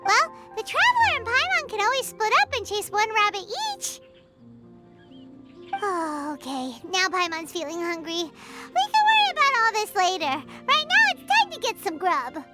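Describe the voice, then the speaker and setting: Tiny childlike fairy companion for anime game: extremely high, squeaky, wildly animated, chirpy and exclamatory. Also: female voice, normal speed, loud music behind the voice. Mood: eager, hungry. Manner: speaks of herself in third person, exclamatory. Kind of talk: anime video game voice acting.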